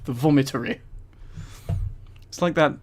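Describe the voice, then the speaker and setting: A man streams a casual read-aloud through a headset mic. His voice sits a little high for a man. He rambles into amused asides as he goes, chatting informally to his viewers. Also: a little slow. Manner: amused, giggly, rambling commentary. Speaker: streamer reading a book aloud to live chat.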